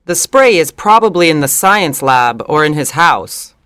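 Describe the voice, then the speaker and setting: A woman's voice: deep voice, sing-song, normal speed, a bit bright, smooth, no echo, clean studio sound. A woman voicing a doubtful, jumpy schoolgirl. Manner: doubtful, then alarmed. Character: anxious, doubtful schoolgirl, easily alarmed. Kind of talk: audiobook character reading.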